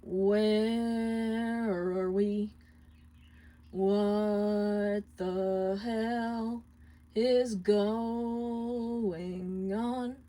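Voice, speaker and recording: A woman voicing a wounded, heartbroken male imp in a storybook reading, halting and sorrowful, half singing. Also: medium pitch, steady tone, dark tone. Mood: broken, sorrowful. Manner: broken, halting, sometimes singing.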